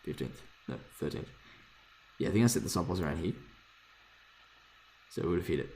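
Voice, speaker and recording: A man with a hoarse voice casually thinking aloud while reviewing trading charts, rambling, swearing freely and correcting himself. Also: deep voice, expressive, a little fast, dark tone, slight background noise. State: hoarse, lost voice from screaming. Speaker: forex trader reviewing charts.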